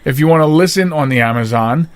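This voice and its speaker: Higher-pitched man hosting a casual podcast. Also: fast talker, no echo. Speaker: podcast host. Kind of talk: casual chat.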